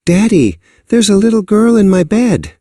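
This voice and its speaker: Man voicing an eager little bear cub, high-pitched. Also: very animated, moderate pace, warm tone, no room sound, clean studio sound. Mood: hushed alarm.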